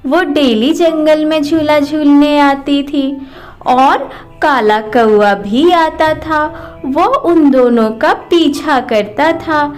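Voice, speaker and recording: A woman narrating a scripted children's cartoon story. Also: high voice.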